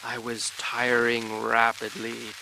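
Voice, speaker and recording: Slow, melodramatic storm-swept adventurer. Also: male voice, mid-range voice, completely flat delivery, vocal fry, bright, forceful tone, heavily acted, rough recording. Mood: desperate, grave. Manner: florid, drawn-out melodramatic narration. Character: melodramatic male adventurer recounting a perilous fight. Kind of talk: dramatic first-person narration. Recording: rain and thunderstorm noise behind. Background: rain.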